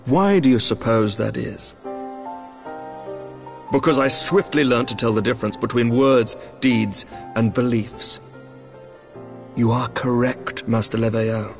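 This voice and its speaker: An actor voicing a courteous knight commander in a fantasy game, speaking formal, measured lines with a British accent. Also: male voice, medium pitch, soft background music, natural voice. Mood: composed, earnest. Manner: formal, stately, measured diction. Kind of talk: dramatic fantasy game voice acting.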